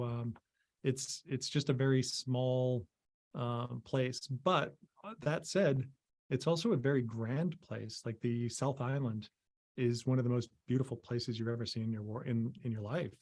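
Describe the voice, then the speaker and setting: A middle-aged man from Maine, a guest on a video call, speaking in halting, casual sentences full of ums and restarts. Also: mid-range voice, a little mellow. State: losing his voice, clearing throat. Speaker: interview guest, visual effects artist.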